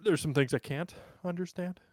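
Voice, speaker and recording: Give a man with a slightly high voice, recorded close and dry. He banters loosely like a podcast co-host, joking and crude. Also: normal speed, darkish tone, no echo. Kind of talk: casual bantering chat.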